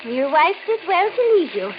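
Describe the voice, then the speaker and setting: High-voiced, suspicious radio-drama woman. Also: sing-song, moderate pace. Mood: accusing. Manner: accusing, theatrical. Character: pushy, sharp-tongued, suspicious female house buyer. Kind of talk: old-time radio horror acting. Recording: noisy, muffled old radio recording.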